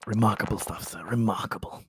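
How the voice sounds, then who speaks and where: A man voicing an obsequious male political aide who flatters in an understated way in a tabletop game. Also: on the low side, fairly quick, breathy edge, dry recording, pitched below the performer's own voice. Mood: sycophantic praise.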